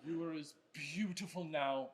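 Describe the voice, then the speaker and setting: A man's voice: fairly high pitch, a little slow, natural voice. A teenage boy on stage playing a devoted, grandiose older actor and family man in a farce. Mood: tender. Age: teens. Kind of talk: broad high school stage farce acting. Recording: noisy live stage recording.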